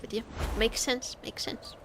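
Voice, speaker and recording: A woman's voice: measured pace, noisy background. A woman chatting casually as she livestreams a game, playfully repeating her phrases to viewers.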